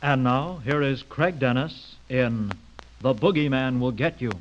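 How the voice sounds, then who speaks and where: A man's voice: medium pitch, a little slow, loud music behind the voice. A man announcing a radio program in a dramatic old-time style. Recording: muffled old radio recording.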